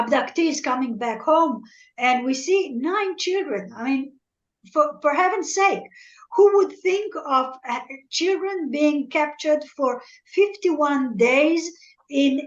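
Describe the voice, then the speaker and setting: A middle-aged woman from Algeria whose first language is French, speaking as a guest analyst in an earnest, measured way and often repeating words as she searches for them. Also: high voice. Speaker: guest analyst, former military spokesperson. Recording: echoey room, dull lo-fi audio.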